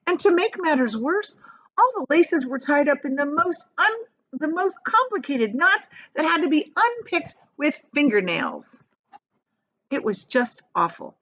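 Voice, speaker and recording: Animated woman reading a storybook aloud. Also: high voice, a little slow, dull audio. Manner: expressive, explains the story in plain words as she goes. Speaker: teacher reading aloud to children. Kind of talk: animated storybook read-aloud with casual asides.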